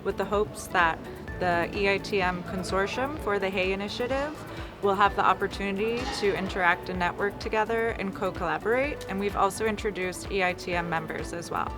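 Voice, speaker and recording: A woman's voice: mid-range voice, steady tone, brisk. A woman who organized the workshop, speaking fluently and matter-of-factly in an event interview. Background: background music.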